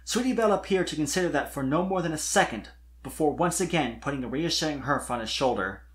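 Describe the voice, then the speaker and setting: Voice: medium pitch, animated, fast talker. A narrator reading fan fiction expressively, in a scripted storytelling style.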